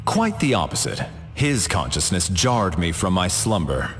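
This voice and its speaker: A deep, solemn cosmic warrior from a video game. He speaks formally and grandly, with lively rises and falls over faint music. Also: a little slow, full character voice. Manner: formal, portentous. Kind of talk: video game character dialogue.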